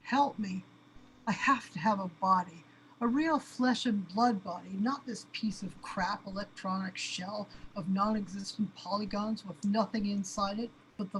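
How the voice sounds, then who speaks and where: A woman playing a panicky, overwhelmed avatar who longs for a real body, in an amateur audio drama. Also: mid-range voice. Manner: anxious, complaining, overwrought. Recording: clearly noisy background.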